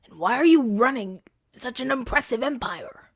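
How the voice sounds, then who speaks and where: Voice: mid-range voice, expressive, moderate pace, dry recording. A woman playing a flustered, self-righteous hero in a scripted ASMR audio drama, with a theatrical, stammering delivery. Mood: bewildered. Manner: stammering, indignant, dramatic delivery with breathy whispers.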